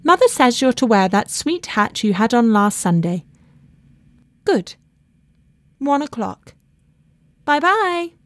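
A woman voicing an excitable, affectionate well-bred young woman in a storybook, with breezy, exclamatory chatter. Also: on the high side, normal speed, hardly any pauses, no echo. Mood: calling, cheerful. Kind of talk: storybook character voice in an audiobook.

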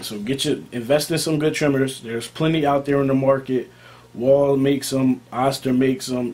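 A man's voice: mid-range voice, a little mellow, breathy edge. A young American man speaking informally and emphatically as a barber hosting a video, often repeating his key points. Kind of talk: casual product talk to camera.

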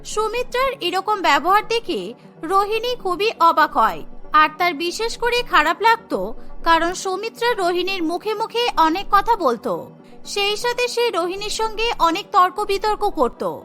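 A woman's voice: very high-pitched, big pitch swings, measured pace, pushed and bright, faint music behind. A woman reading scripted narration for a cartoon story.

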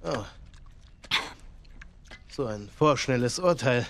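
A middle-aged actor playing a calm, menacing leader of survivors in a video game, soft-spoken, persuasive and condescending. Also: male voice, medium pitch, slow. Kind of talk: dramatic video game cutscene acting.

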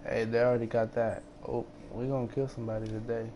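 A man's voice: mid-range voice, flat delivery, fast talker, warm tone. A young man streaming a team video game, chatting casually in a slangy, profane, bossy way. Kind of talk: casual team gaming chat. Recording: noisy game voice chat.

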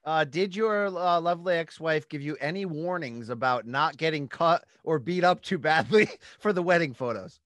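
High-pitched American male sports podcast host. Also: moderate pace, few pauses, fairly bright tone, no room sound, studio quality. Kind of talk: sports breakdown commentary and questions. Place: small home studio with posters. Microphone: studio mic on a boom arm, close.

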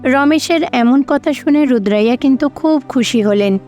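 A woman's voice: high-pitched, normal speed, a little mellow. A woman reading scripted storybook narration for a cartoon. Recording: faint music behind.

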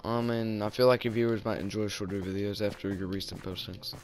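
A man's voice: lowish voice, steady tone, fairly quick, soft background music. A young man with a Southern US accent, rambling and joking through casual gameplay commentary while tired. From southern United States. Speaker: gaming YouTuber.

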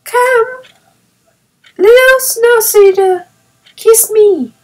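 A woman voicing Peony, an eager, affectionate small boy who cries out excitedly. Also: extremely high pitch, takes it slowly, pitched above the performer's own voice, poor audio.

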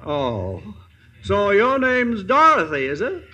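A man's voice: high-pitched, huge pitch swings, slow, pushed and bright, lo-fi sound, heavily acted. A man playing a grandiloquent, kindly American fortune-telling humbug, with theatrical bluster in a 1950s radio drama. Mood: boastful. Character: showy, kindly male travelling fortune-teller and humbug.

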